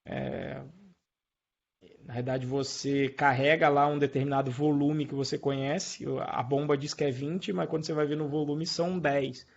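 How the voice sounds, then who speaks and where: Brazilian man, programming teacher, husky and creaky voice, colloquial explanations, live online lesson, slightly muffled lo-fi sound. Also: a little high, flat delivery, moderate pace. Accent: Brazilian Portuguese. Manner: colloquial, explanatory, checks in with listeners. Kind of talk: informal live coding lesson. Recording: dull lo-fi online stream.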